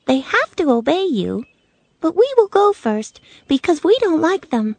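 A woman voicing a tiny, kindly queen of the field mice in a storybook, squeaky and singsong. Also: very animated, a little slow, creaky, darkish tone, dry recording, heavily acted. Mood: kindly, helpful. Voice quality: squeaky, small.

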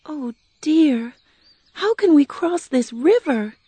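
A woman voicing a young girl in a storybook reading, pitched high and girlish. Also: lively intonation, takes it slowly, warm tone, no room sound. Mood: worried. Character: young girl, hopeful and tearful.

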